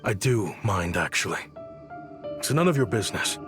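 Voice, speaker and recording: An actor playing a weary, regretful exiled desert scholar and inventor in a game, speaking in a measured, reflective way. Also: male voice, on the low side, big pitch swings. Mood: guarded. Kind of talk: scripted game narration and dialogue. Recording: long reverb, music behind.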